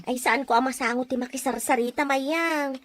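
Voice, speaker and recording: A woman's voice: sits high, normal speed, lightly acted. A woman with an Ilocano accent playing a hardworking, house-proud, practical village neighbour in an audio drama, homely and matter-of-fact. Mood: busy, dismissive. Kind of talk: Filipino audio drama dialogue.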